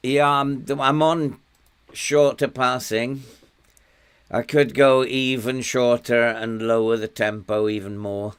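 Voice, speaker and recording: A slow, unhurried older man from England talks through a football management game, half to himself. His voice is hoarse and worn, close to giving out, and his pitch sits in the ordinary middle range for a man. He mutters his reactions as the match plays out. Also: steady tone. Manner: muttering, talking to himself while playing. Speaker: gaming YouTuber. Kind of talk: casual gameplay commentary.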